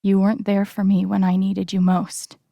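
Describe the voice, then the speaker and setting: Actress as young woman in a game drama, earnest n wounded, breathy with some fry, super close dry sound. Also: medium pitch, completely flat delivery, mellow, dry recording, close to an everyday voice. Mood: tender, hurt. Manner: slow, deliberate, emotionally weighted phrasing. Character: earnest, wounded young woman confronting betrayal with quiet conviction. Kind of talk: naturalistic dramatic video game acting.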